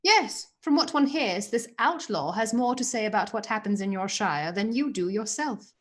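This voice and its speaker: A woman's voice: mid-range voice, expressive, moderate pace, lightly acted. An actress playing a haughty, scheming princess in a radio play, mocking and silky in her charm. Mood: sly.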